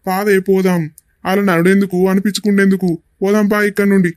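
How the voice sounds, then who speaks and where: Guy playing a defensive village man, telangana accent, blunt, high voice, close dry sound. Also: level tone, fast talker, a little mellow, dry recording, close to an everyday voice. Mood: indignant. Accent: Telangana Telugu. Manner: blunt, warning. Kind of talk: village family drama acting.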